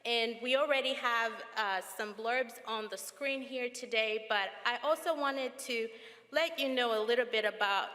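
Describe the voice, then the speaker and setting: A woman from Zambia speaks at a formal ceremony in an earnest, measured way. Her voice sits a little high and sounds bright. The sound carries a long reverb from a large auditorium.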